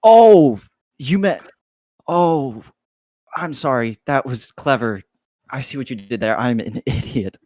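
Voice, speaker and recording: A man's voice: medium pitch, animated, slow, long pauses, a little mellow. A man reading the part of an awkward, good-natured college student in a hesitant, conversational way at a table read. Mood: flustered, sheepish. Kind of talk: casual script table read.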